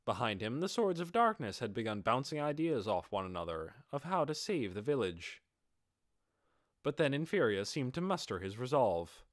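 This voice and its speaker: A man reading a fantasy audiobook as narrator, in an expressive scripted storytelling style. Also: medium pitch, normal speed, dry recording, clean studio sound.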